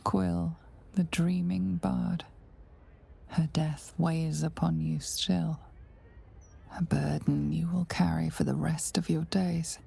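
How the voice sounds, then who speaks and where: An actress playing an omniscient game narrator, solemn and intimate, with a husky, breathy delivery that often nears a whisper. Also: female voice, low-pitched, flat delivery, dark tone, full character voice. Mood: hushed, solemn. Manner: slow, measured, solemn. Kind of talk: dramatic second-person game narration.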